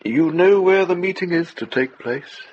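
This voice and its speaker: An actor playing a stern, devout abbot who gives orders with solemn authority in a radio drama. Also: male voice, high-pitched, animated, normal speed, lo-fi sound, a little hiss or hum. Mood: businesslike command. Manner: solemn, commanding.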